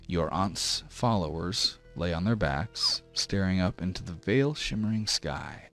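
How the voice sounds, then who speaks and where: Man, game master, theatrical narration, unhurried pace, faint background music. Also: sits low, animated, a bit bright. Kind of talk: dramatic scripted tabletop narration.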